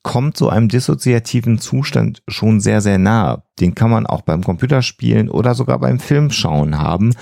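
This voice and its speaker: Low, creaky-voiced man explaining carefully. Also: lively intonation, normal speed, few pauses, warm tone, dry recording, studio quality. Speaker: podcast host explaining psychology. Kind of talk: explanatory podcast talk.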